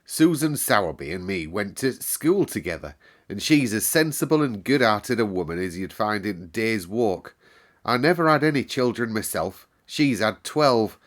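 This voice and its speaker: A man reading aloud and voicing a practical middle-aged housekeeper, talking in a brisk, emphatic way. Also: lowish voice, sing-song. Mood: pleased, emphatic.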